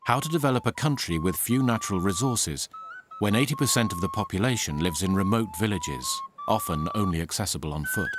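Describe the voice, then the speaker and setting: Lively low-voiced male documentary narrator. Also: a little fast. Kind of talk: scripted narration. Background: music.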